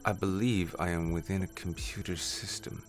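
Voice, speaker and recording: A man's voice: sits low, steady tone, moderate pace, close to an everyday voice. A man voicing a curious, polite artificial intelligence in a formal, precise manner for an audio story. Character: newborn male AI computer, formal, curious, polite. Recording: music under the voice.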